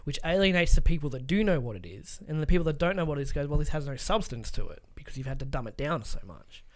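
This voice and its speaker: A man's voice: fairly high pitch, animated, fast. A man co-hosting a podcast in casual, opinionated chat, emphatic and given to swearing. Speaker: podcast co-host.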